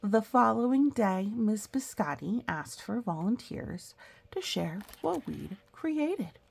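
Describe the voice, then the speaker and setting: Unhurried woman reading aloud, creaky voice. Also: medium pitch. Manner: animated, explains things to young listeners. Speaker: storyteller reading a picture book aloud. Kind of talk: expressive read-aloud with chatty asides.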